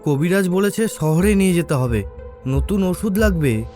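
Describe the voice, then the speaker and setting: A desperate village fisherman, acted by a man in an animated horror story. His voice is fairly high, earnest and humble, with soft music behind. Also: moderate pace. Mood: desperate resolve. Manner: earnest, pleading.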